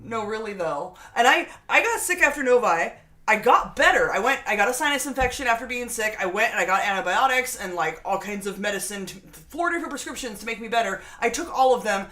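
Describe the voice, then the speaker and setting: A woman's voice: a little high, lively intonation, quick pace, pushed and bright. A woman host chatting casually and reading viewer comments, congested from a lingering cold, with a sassy, teasing manner. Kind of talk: casual livestream chat.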